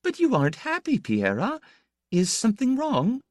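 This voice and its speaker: A man voicing an anxious, motherly older woman who comforts tenderly in a murmuring falsetto, for an audiobook. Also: huge pitch swings, normal speed, darkish tone, dry recording, clean studio sound, full character voice. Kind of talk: audiobook character voice.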